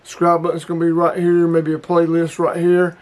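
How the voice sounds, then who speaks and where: A man's voice: a little high, completely flat delivery, brisk. A middle-aged man with a folksy, plain-spoken manner, hosting a casual hobby review vlog. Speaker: hobbyist video host.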